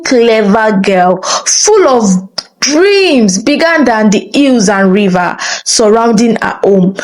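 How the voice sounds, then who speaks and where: A woman narrating a scripted folktale in a dramatic, expressive and deliberate storytelling style. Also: a little high, low-quality recording.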